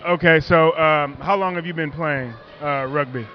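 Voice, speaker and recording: Brief: talkative male interviewer, a bit high-pitched, joking, muffled outdoor sound. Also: normal speed, a little hiss or hum. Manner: chatty, joking, rambling questions.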